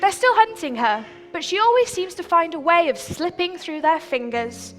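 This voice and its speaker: A woman's voice: very high-pitched, animated, moderate pace, loud music behind the voice. A woman playing an earnest, meek young princess in a stage pantomime, chatting and telling her story. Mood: storytelling. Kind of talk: pantomime stage acting and narration. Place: theatre stage. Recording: hall reverb, live stage production.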